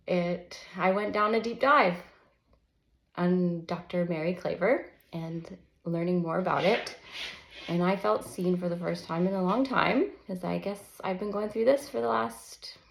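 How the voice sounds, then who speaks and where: A woman in her early forties talking candidly and a little nervously in an unscripted personal vlog, halting and self-conscious. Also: fairly low pitch. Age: early 40s. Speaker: counselor and children's book author. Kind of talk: unscripted personal vlog, reading own manuscript. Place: indoors at a desk, live unedited home recording. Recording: roomy echo of an untreated room.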